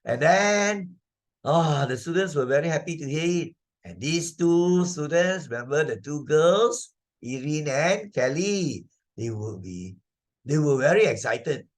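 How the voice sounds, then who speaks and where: A man's voice: on the high side, animated, measured pace. An older man with a Malaysian accent, narrating and explaining a children's moral story as a teacher, in a didactic, repetitive way with frequent tag questions. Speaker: Sunday school teacher narrating slides.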